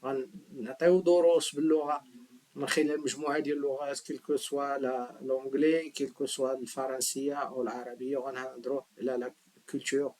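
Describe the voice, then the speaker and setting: A man's voice: medium pitch, level tone, very fast, darkish tone, poor audio. A middle-aged man giving a casual spoken lesson to his viewers. He switches easily between languages and leans on emphatic rhetorical questions. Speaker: language teacher, channel host.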